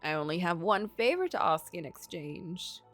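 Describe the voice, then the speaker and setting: A sly gentleman tempter from a story game, read by a woman, courtly and insistent. The delivery swings in pitch as he coaxes, over faint music. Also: very animated, normal speed. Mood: coaxing. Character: sly, smooth-talking gentleman tempter offering magical bargains.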